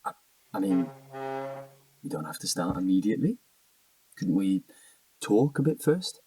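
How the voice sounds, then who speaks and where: A man's voice: sits low, very animated, a little slow, dark tone, slightly rough, no room sound, distant mic, soft background music, full character voice, low-quality recording. An actor playing an evasive, defensive young British man in a naturalistic audio drama, hesitant and slangy. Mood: sheepish, awkward.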